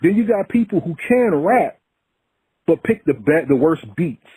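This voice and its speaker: Man, podcast host, husky voice, lively pitch, mellow tone, earnest and preachy. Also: a little slow, no room sound, low-quality recording. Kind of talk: casual interview.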